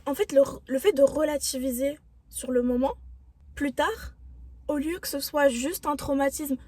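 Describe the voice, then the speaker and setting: A young woman with a high, soft and mellow voice talks straight to her viewers. She gives self-help advice in an earnest, reflective way. Her tone stays encouraging throughout. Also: steady tone, moderate pace. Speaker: vlogger. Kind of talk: motivational self-help talk.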